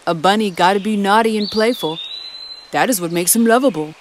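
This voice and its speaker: Woman doing a soft-voiced gentle bull. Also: fairly quick, slight background noise, lightly acted. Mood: friendly. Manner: soft, low and friendly. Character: gentle big male bull, kind and forgiving.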